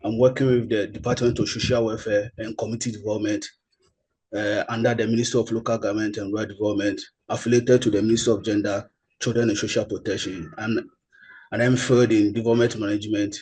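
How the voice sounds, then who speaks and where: A social worker introducing himself formally in an online meeting. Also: male voice, on the low side, flat delivery, quick pace, darkish tone, slightly rough, rough recording. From Ghana.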